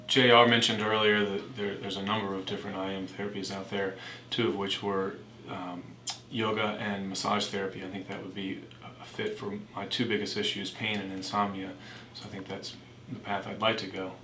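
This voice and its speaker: Guy acting as a patient, polite n open but a bit unsure, lowish voice, echoey role-play. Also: little pitch movement, a little fast, bright, forceful tone. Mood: polite, cooperative. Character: male cancer patient, skeptical but willing and polite. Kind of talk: amateur role-play dramatization. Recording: echoey room with background noise.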